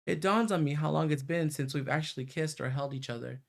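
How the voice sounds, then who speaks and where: A male streamer reads visual novel narration aloud in a fairly high voice with a gravelly fry to it. He slips easily between story reading and casual comments. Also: brisk.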